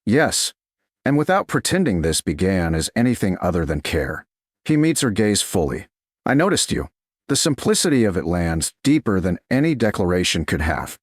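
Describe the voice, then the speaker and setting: A man reading a romance story in a slow, measured narration style. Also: sits low, very animated, no room sound, studio quality.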